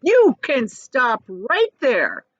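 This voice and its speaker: A woman voicing a stubborn, panicky male earthworm in a children's storybook, protesting with loud indignation. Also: high-pitched, wildly swooping pitch, very slow, no echo, highs cut off, pitched above the performer's own voice, exaggerated voice. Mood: indignant, sharp. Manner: protesting, blustering, exclamatory. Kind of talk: children's storybook character reading.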